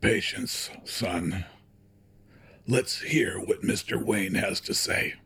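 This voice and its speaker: A withered old company patriarch in a radio play. The voice is extremely breathy and gravelly, and he speaks slowly, with frail, patient authority. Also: lowish voice, fairly bright tone, full character voice. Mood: patient, commanding. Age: older. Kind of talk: audio drama acting.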